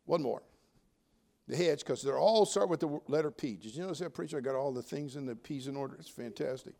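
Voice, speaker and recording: This is an older man, in his seventies, with a noticeably high-pitched voice. He preaches like a folksy Baptist pulpit veteran. He pushes hard on key phrases, then drops into quieter, joking asides. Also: fast, vocal fry. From United States. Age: 70s. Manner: folksy, emphatic, swinging from loud exhortation to quiet joking asides. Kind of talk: sermon.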